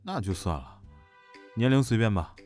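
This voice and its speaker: Low-voiced, blunt young officer played by a man. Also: big pitch swings, moderate pace, darkish tone. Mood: matter-of-fact. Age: young adult. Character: blunt, naive young male military major general, sci-fi world. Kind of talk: Chinese audio drama acting. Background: music.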